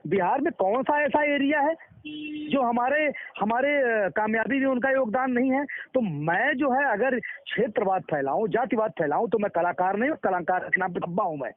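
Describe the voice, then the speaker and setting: A man's voice: very high voice, normal speed, no room sound. A man from Uttar Pradesh answering interview questions over the phone, emphatic and repeating his words and phrases for weight. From Uttar Pradesh, India. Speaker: interview guest, performing artist. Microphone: phone call. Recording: thin, muffled phone line.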